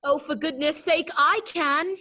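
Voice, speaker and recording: A woman's voice: high voice, fairly even intonation, unhurried, sharp tone. A woman playing a vain, disdainful talking flower in a children's readers' theater performance. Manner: disdainful, superior. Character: vain, snooty talking garden flower, female.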